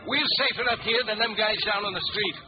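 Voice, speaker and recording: An actor playing a tough, bossy riveter with rough working-class slang, in 1940s radio style. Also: male voice, high-pitched, steady tone, fairly quick, over music, lightly acted. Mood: dismissive. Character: tough, bossy male riveter on high steel. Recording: muffled radio recording, loud clamor behind.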